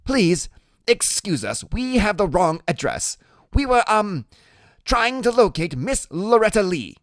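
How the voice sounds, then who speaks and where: A man's voice: high voice, very animated, measured pace, no echo, clean studio sound, voice pushed up, heavily acted. A man voicing a small, brainy, nervous boy in an audiobook, over-polite, with formal and hesitant wording.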